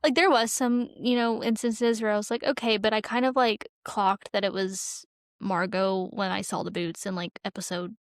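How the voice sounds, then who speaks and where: A woman's voice: medium pitch, fairly even intonation, moderate pace, no echo, clean studio sound. A woman co-hosting a podcast, chatting casually and informally about TV, with plenty of "like" in her speech. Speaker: podcast co-host. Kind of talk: casual TV-review chat.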